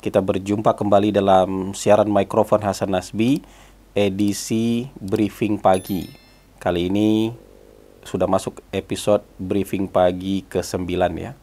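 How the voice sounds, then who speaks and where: A man with a stuffy, hoarse voice from a cold, talking through his commentary with lots of "ya" and "eh" fillers. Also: sits low, level tone, measured pace, dark tone. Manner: conversational, frequent "ya" and "eh" fillers. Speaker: political commentator hosting his own channel. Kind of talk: solo spoken commentary.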